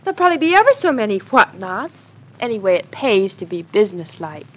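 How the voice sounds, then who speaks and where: An actress playing a naive, earnest and affectionate young American wife in 1940s radio drama style. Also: female voice, mid-range voice, big pitch swings, fairly quick. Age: young adult. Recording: dull, lo-fi vintage radio recording.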